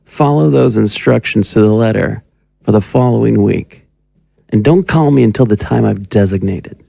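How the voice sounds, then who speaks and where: A man's voice: lowish voice, moderate pace, vocal fry, warm tone, no echo, rough recording. An actor playing a domineering, cruel occult magus who talks down to his pupil in an audio drama. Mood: menacing, condescending. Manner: condescending, commanding. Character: sinister, domineering male occult magus, cruel and mocking mentor.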